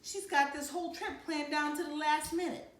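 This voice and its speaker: A woman reading a children's novel aloud in an animated, dramatic storytelling manner. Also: sits high, moderate pace. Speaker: read-aloud narrator. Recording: roomy, distant mic, faint noise.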